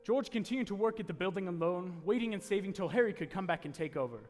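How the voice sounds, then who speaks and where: An actor playing a calm, kindly narrator in an old-time radio play. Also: male voice, high voice, fast talker. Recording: echoey hall with music behind.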